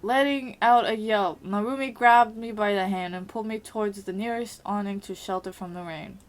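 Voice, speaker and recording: A woman's voice: mid-range voice, fairly even intonation, moderate pace. A woman, an amateur voice actor, reading first-person visual novel narration steadily, with small slips and restarts.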